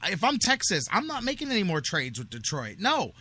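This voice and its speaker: A man's voice: high voice, huge pitch swings, a little fast, pushed and bright, slightly rough, dry recording. A man hosting a live sports talk radio show in a conversational, opinionated way.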